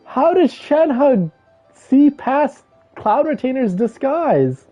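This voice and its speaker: A man's voice: very high-pitched, big pitch swings, slow pace, warm tone. A man chatting casually to his live stream viewers, reacting to a game with emphatic, repetitive phrasing. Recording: muffled lo-fi mic. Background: game music.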